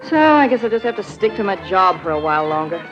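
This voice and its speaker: An actress playing a sly accomplice who acts sweet and needy, coaxing and admiring, in a vintage radio drama. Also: female voice, medium pitch, sing-song, quick pace, lightly acted. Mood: wistful. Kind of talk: 1950s radio drama acting. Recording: muffled old radio recording, zither music.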